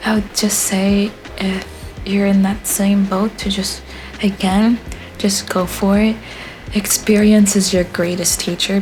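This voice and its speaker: A young woman narrates her vlog in a soft, rambling voice-over. Her voice sits at an ordinary middle pitch. She often pauses on filler words, and faint hiss runs under the recording. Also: rough recording. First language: Haitian Creole. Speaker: art vlogger.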